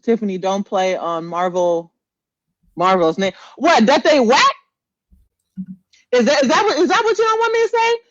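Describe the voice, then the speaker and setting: A woman podcast co-host with a very lively, swooping delivery. She talks casually in slang, teasing and hammering her points by repeating them. The sound is dry and slightly dull, like a lo-fi call. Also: on the high side, moderate pace, long pauses, no room sound. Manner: emphatic, teasing, repetitive, slangy. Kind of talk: casual animated podcast banter.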